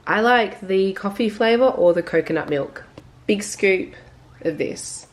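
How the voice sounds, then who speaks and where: A woman, a little croaky from illness, narrating her daily routine in a soft, unhurried, reflective way. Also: mid-range voice, lively intonation. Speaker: lifestyle vlogger. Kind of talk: casual voice-over narration. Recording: voice-over with loud background music.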